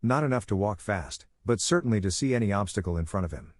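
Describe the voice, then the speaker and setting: A man's voice: lowish voice, expressive, fast talker, a little mellow, dry recording. A man who speaks English as a second language, narrating a scripted story in a theatrical, suspenseful way. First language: not English.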